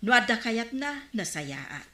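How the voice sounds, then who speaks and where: High, bright disapproving village woman. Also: very animated, slow, reverberant, exaggerated voice. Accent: Filipino, Ilocano. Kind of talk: comedy radio drama acting.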